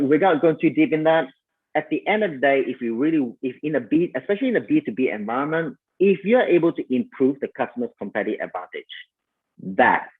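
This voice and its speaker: A man with a Malaysian accent coaches listeners on selling in a loose, conversational way, sprinkling in fillers and calling them "you guys." His voice is a little husky. The audio comes through thin and narrow, like a phone line. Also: medium pitch. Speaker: sales coach. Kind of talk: online summit talk.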